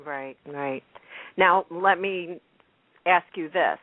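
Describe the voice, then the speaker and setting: A woman's voice: lowish voice, takes it slowly, dry recording. A woman in casual conversation, reacting and asking questions with a playful, teasing manner. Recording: narrow telephone-like sound.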